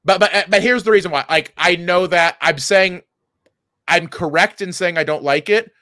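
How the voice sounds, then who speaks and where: A man's voice: sits high, normal speed, pushed and bright, dry recording, studio quality. A man chatting casually and comedically as a podcast co-host, rambling and often interrupting himself, with some swearing. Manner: rambling, self-interrupting, profane.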